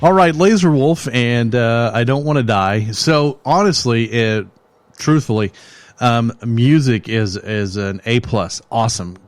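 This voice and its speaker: A man's voice: medium pitch, a little slow, faint music behind. A man hosting a metal radio show, reviewing songs in a rambling, joking way with many fillers, his voice starting to give out. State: losing his voice. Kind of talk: casual music review chat.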